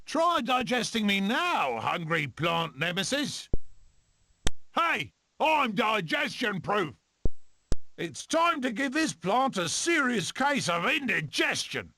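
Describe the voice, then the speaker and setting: Cocky weasel adventurer for a cartoon game, high bright voice that swoops all over, theatrical and boastful. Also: male voice, sing-song, slow pace, a little hiss or hum, heavily acted. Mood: defiant. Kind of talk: animated film video game voice clips.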